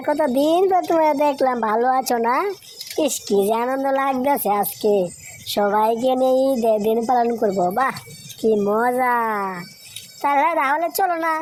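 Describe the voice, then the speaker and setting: A woman playing a busy, sharp-tongued village wife in a cartoon story, emphatic, with a rural Bangladeshi accent. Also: high voice. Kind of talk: Bengali cartoon story voice acting.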